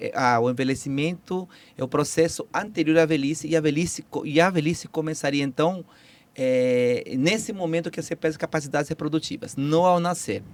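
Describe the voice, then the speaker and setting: A man's voice: on the high side, fairly quick. A man in his forties from Peru with a Peruvian Spanish accent, explaining biology as a podcast guest, hesitant with many fillers and restarts.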